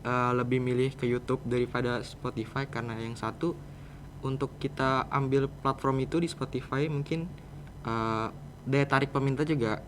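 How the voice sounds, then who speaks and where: A man's voice: mid-range voice, steady tone, moderate pace. A teenage male student with a Jakarta Indonesian accent, answering interview questions in an informal, roundabout, conversational way. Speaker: student guest, podcast project leader. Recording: clear background noise, room ambience.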